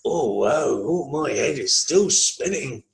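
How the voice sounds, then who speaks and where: A young man playing a gruff, bossy pirate captain in a children's puppet show, with theatrical exclamations, easily confused. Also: medium pitch, lively intonation, takes it slowly. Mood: queasy. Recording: roomy, noisy home recording.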